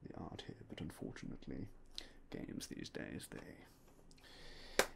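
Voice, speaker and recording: A young man whispering softly and politely as a game shop clerk in an ASMR roleplay, close to a binaural mic. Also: slow pace, a little hiss or hum. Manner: quiet, whispery, polite shopkeeper patter.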